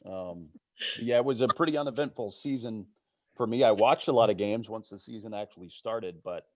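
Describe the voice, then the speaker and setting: A man with a low voice talks casually as a guest on a video call, like a sportscaster off the air. The sound is close and dry, with very little room. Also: fairly quick, a little mellow, no room sound, rough recording. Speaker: baseball play-by-play broadcaster as guest. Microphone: video call microphone.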